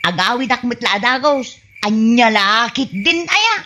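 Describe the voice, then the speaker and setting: A woman playing an excitable, bickering, scatterbrained wife in an Ilocano radio comedy, exclaiming often in an Ilocano Filipino accent. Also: on the high side, unhurried, slight background noise. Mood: flustered, indignant. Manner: exclaiming, animated.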